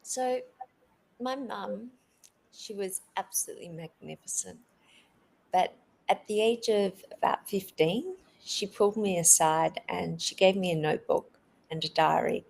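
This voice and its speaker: Gravelly-voiced woman guest. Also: medium pitch, unhurried, darkish tone, slight background noise, rough recording. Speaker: interview guest, keynote speaker. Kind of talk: conversational interview answers.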